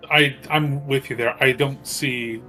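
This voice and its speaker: A man's voice: mid-range voice, steady tone, unhurried, over music. A man chatting casually as a tabletop game player, hesitant and often repeating himself.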